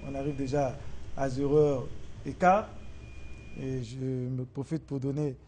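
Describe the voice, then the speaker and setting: A male talk show host with a Congolese accent addresses his viewers in a mellow voice. His delivery is halting and hesitant, with repeated words, over faint background noise. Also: mid-range voice, little pitch movement. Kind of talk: spoken show wrap-up to viewers.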